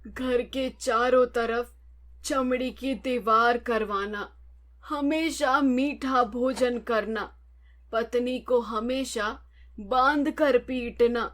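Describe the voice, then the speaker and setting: A woman's voice: on the high side, steady tone, very slow, a bit bright. A woman voicing an ailing old merchant father who gives solemn advice in a cartoon story.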